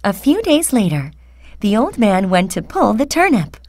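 A woman narrating a children's fairy tale, speaking carefully and deliberately as a storyteller. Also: mid-range voice, very animated, a little mellow, no echo. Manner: slow, careful, deliberate enunciation.

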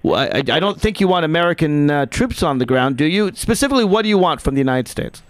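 Radio host guy, kinda high voice, dry mic, keeps pushing guests for specifics. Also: expressive, fast talker, dry recording. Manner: presses guests with pointed questions. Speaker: radio talk show host. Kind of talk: talk radio interview. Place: radio studio.

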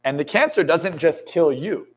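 A man's voice: a little high, normal speed, breathy edge. Intense fired-up motivational man. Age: 20s. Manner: intense, emphatic, swears often, builds to excited peaks. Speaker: motivational speaker and coach. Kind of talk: motivational talk in a podcast interview. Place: indoor studio lounge set.

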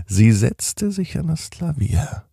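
A middle-aged man hosting a children's podcast, telling a bedtime story in a slow, soothing, gently animated way. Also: on the high side, gravelly, slightly rough, dry recording, clean studio sound.

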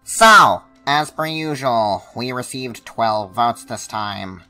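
A man voicing a bombastic, irritable contest announcer in an amateur animated web show, declaiming and bickering. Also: mid-range voice, slow, soft background music, exaggerated voice. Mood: announcing.

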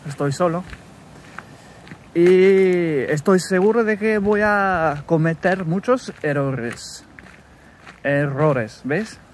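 Slow, halting Englishman walking outdoors. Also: high-pitched, slight background noise. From England. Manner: halting, self-correcting, searching for words. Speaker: language learner recording a practice podcast. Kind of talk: casual spoken practice monologue. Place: wooded countryside path outdoors. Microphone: phone held at arm's length while walking.

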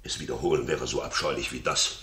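An actor playing a loyal, honest royal counsellor in a 1960s German radio play, speaking with formal, earnest dignity. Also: male voice, very deep voice, fast, sharp tone, reverberant. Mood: indignant.

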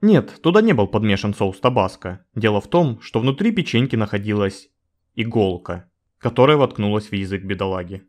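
A man's voice: fairly low pitch, animated, fairly quick. A man giving a scripted video narration in a wry, joking, informal way, with some swearing.

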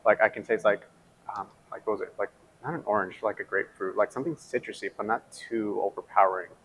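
Low-voiced man describing flavors. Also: steady tone, quick pace, a bit bright, slight background noise, low-quality recording. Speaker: coworker taste tester. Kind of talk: casual tasting comments. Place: brewery taproom. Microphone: lapel mic.

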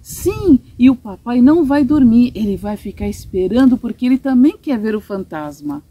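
A woman reads as a friendly little storybook girl, eager and chatty. The voice is high and very lively, with a Brazilian Portuguese accent. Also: normal speed, highs cut off, slight background noise, natural voice. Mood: excited. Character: friendly, excitable young girl.

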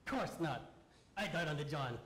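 A man's voice: a little high, animated, moderate pace, fairly bright tone. A man playing a talking book as a hearty pirate sea captain in a comic sketch, with growly pirate slang. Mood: dry, grumbling. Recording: echoey room, audience noise behind.

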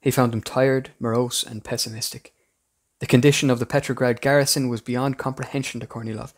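A man's voice: medium pitch, level tone, brisk. A man reading a scripted history narration as the host of a podcast.

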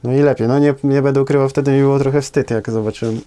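Hesitant man, dark mellow voice. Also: mid-range voice, steady tone, very fast, smooth. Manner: hesitant, many fillers and tag questions. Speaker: footballer guest. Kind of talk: casual interview answers. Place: small studio with brick wall.

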